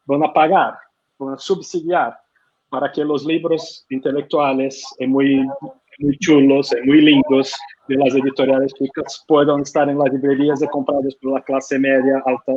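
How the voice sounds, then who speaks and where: A man's voice: mid-range voice, darkish tone, husky. Brazilian guy answering questions in hesitant spanish, lots of ums, dull muffled sound. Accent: Brazilian Portuguese. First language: Portuguese. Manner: hesitant non-native Spanish with many fillers and restarts. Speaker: publishing consultant, guest speaker. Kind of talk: online conference Q&A.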